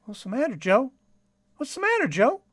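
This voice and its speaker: A childlike, earnest detective sidekick, acted out for an old-time radio crime parody. He rambles on in a folksy, repetitive way, his pitch bouncing up and down. The recording is dry and close. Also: huge pitch swings, normal speed, a bit bright, dry recording, full character voice. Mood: anxious, naive.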